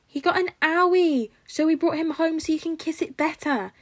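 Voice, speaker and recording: A woman voicing an excited, innocent three-year-old boy in a storybook reading, with earnest childlike speech. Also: very high voice, moderate pace, no echo, voice pushed up.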